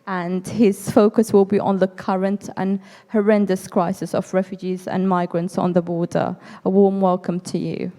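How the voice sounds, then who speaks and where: A woman moderating a panel discussion, speaking warmly but haltingly with many fillers. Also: medium pitch, level tone, big-room sound.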